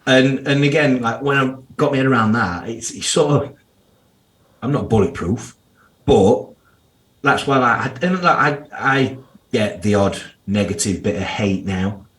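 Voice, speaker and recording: A man's voice: mid-range voice, measured pace, fairly bright tone. A man in his thirties with a strong Yorkshire accent, a financial planner speaking candidly as a podcast guest, with slang and the odd curse. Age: 30s. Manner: candid, blunt, uses slang and swearing. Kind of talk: casual podcast interview.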